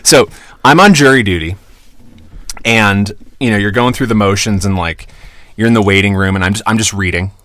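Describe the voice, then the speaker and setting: A man co-hosting a podcast, telling stories in casual chat, animated and swearing, acting out the voices of people he quotes. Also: fairly low pitch, moderate pace. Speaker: podcast co-host.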